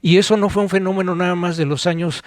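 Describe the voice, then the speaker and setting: A middle-aged man with a Mexican accent co-hosting a podcast, reminiscing conversationally in a nostalgic, digressive way with halting phrases. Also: high voice, fairly even intonation, dry recording. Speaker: podcast co-host.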